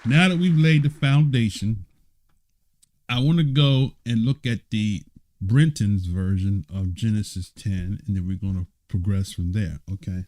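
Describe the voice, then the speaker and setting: Bible-study elder who keeps asking "right?". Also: male voice, on the low side, measured pace, darkish tone. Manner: reads scripture aloud and explains it. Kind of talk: informal Bible study sermon. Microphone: streamed online talk.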